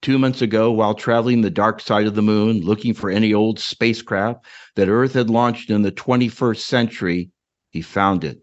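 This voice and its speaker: A man's voice: fairly low pitch, steady tone, moderate pace, dull audio. A man giving a dramatic reading of his own short story as a podcast co-host.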